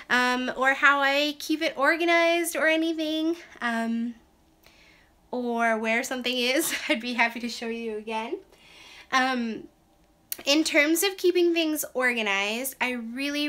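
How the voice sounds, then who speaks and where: A woman's voice: fairly high pitch, a bit bright. A young woman with a Canadian accent, chatting casually to camera as a craft vlogger in her small bedroom craft room, with lots of "like" and "um".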